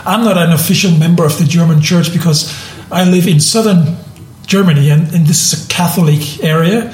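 A man's voice: fairly high pitch, fairly quick, darkish tone, roomy sound. A man from Denmark talking casually on a podcast, often restarting and repeating words as he thinks. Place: room in southern Germany.

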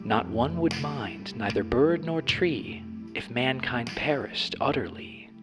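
Man voicing a slow, courteous automated house voice. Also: mid-range voice, expressive, pitched above the performer's own voice. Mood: courteous, measured. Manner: formal, measured, courteous. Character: automated house voice, politely reciting poetry to its owner. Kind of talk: audio drama poetry recitation. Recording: music under the voice.

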